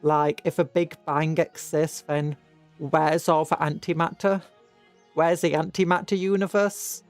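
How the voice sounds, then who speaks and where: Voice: mid-range voice, flat delivery, measured pace. A British livestream host chatting casually in a rambling, hesitant way, often trailing off and restarting. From Britain. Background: music.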